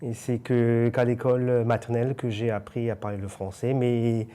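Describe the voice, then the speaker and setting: A man's voice: mid-range voice, level tone, normal speed, dark tone. A man from Alsace, a theatre president, answering interview questions plainly with many fillers.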